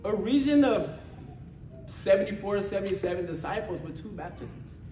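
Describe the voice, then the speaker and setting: Male American preacher, notably high voice, conversational and exhortative, seeks agreement. Distant mic in a reverberant room. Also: lively intonation, normal speed, mellow. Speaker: church ministry leader preaching a sermon. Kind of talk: informal motivational sermon with call-and-response. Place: church meeting room with responding congregation. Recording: echoey room from far off, faint noise.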